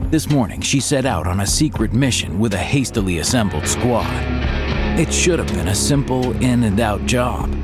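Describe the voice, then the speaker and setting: An actor playing a wry storyteller, narrating an audio drama with dramatic pauses. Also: male voice, lowish voice. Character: wry male storyteller introducing an adventure.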